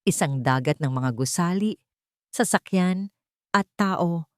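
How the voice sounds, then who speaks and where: A woman narrating a scripted dramatic story in measured, short literary phrases. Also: lowish voice, clean tone, dry recording, studio quality.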